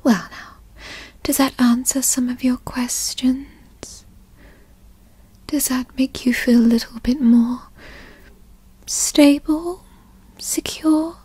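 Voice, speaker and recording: A woman playing a possessive, doting, teasing girlfriend in a whispered ASMR roleplay, coaxing and cooing in a breathy voice. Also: high-pitched, steady tone, a little mellow, no echo, exaggerated voice. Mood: smug, doting. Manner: coaxing, cooing, slow and indulgent. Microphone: close studio mic.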